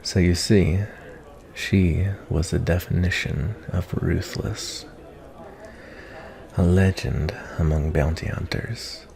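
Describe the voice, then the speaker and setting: Man playing a deep, slow, gravelly cowboy bounty hunter. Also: steady tone, darkish tone, heavily acted. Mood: storytelling, musing. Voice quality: low, gravelly. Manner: folksy, rambling, kindly drawl with cowboy turns of phrase. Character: gentle, reflective male cowboy bounty hunter in a fantasy tavern. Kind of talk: ASMR roleplay, softly spoken to the listener.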